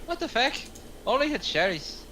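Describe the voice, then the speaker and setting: A man's voice: very high-pitched, sing-song, normal speed, pushed and bright, a little hiss or hum, heavily acted. An actor playing an exasperated, foul-mouthed male criminal, incredulous, in a script table read.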